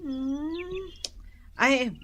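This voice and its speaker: A woman's voice: high-pitched, noisy background. A woman playing an envious gossiping neighbor. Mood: gossipy. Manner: complaining, gossipy, quick retorts. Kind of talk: radio drama acting.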